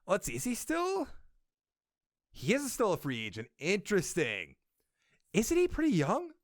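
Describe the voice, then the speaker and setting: A man's voice: sits high, wildly swooping pitch, a little slow, no room sound, studio quality. A young man with a Boston accent hosting a casual sports podcast, rambling and thinking aloud as he corrects himself. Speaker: podcast host.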